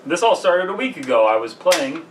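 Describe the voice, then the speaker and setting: A man's voice: fairly high pitch, sing-song, normal speed, recorded from a distance. A man co-hosting a podcast in casual, jokey group banter, with some swearing. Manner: profane, jokey. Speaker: podcast co-host. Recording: off-mic, faint background noise.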